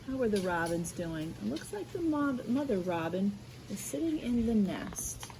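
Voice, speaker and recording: An American woman reading a picture book aloud to children in an expressive way, adding explanatory asides. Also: medium pitch, noisy background. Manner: animated, unhurried, addressing children. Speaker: school media specialist reading aloud.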